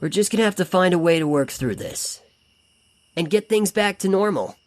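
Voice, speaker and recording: A man's voice: high-pitched, expressive, normal speed, dry recording. A man playing a young mutant turtle narrating a letter in a comic audio drama, casual and slangy. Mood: downbeat, resolved. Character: level-headed young male mutant turtle.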